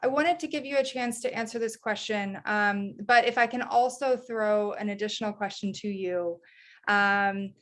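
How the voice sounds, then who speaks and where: A woman moderates a panel discussion, summing up points and handing questions to the speakers. Her voice sits at a middle pitch and her manner is encouraging. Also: normal speed, a bit bright. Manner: encouraging, inviting.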